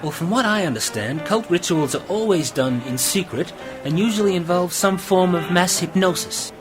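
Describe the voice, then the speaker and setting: A man's voice: high voice, moderate pace, music in the background, natural voice. A man playing an eager, bookish friend full of lore in an amateur science fiction radio play, explaining and musing as he goes. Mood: eager, knowing.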